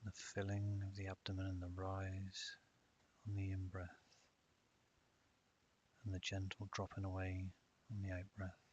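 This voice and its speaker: A man narrating a guided meditation in a calm, measured way with long pauses. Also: sits low. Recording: dull lo-fi audio with hiss.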